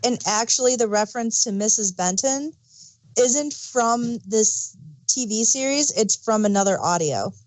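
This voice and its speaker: A woman's voice: fairly high pitch, animated, a little slow, dry recording, poor audio. A woman chatting casually as a podcast host, explaining background details like an enthusiastic fan. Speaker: audio drama review podcast host. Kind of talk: casual review chat.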